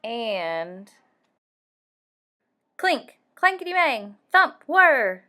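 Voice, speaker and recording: A woman reading a picture book aloud for young children, animated and deliberate, acting out the sound effects. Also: fairly high pitch, soft background music. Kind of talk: expressive read-aloud storytelling for young children.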